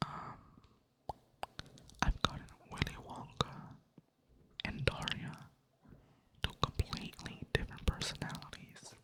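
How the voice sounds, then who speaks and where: A soft, unvoiced whisper that drifts along slowly and stays monotone, with casual, rambling chat over gameplay. It sounds close to the mic at a computer desk and is gently self-mocking throughout. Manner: monotone delivery. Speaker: ASMR gaming creator.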